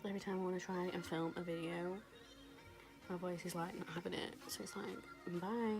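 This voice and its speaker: A young woman, rambling casually through a makeup tutorial, her voice going hoarse. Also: fairly low pitch, fairly even intonation, normal speed. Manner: chatty, rambling, lots of fillers. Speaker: beauty vlogger. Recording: music playing behind.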